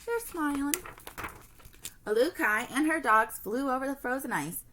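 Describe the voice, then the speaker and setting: Animated, higher-pitched woman reading aloud. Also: measured pace, slight background noise. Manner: expressive storytelling to children. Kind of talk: children's storybook read-aloud.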